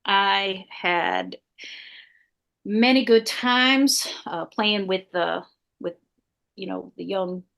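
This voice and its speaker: A woman's voice: mid-range voice, sing-song, drawn-out words, creaky, long pauses, a bit bright, full character voice. A woman portraying a spirited, tomboyish Southern lady spy from the 1800s with a Southern accent, telling her life story in a chatty, playful way. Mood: lively, playful. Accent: American Southern. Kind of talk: living history portrayal in an interview.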